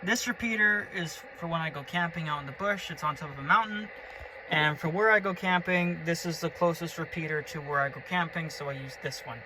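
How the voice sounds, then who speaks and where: A man's voice: a little high, fairly quick, background noise. A man giving a casual, chatty, enthusiastic show-and-tell as a hobbyist vlogger. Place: in and around a pickup truck.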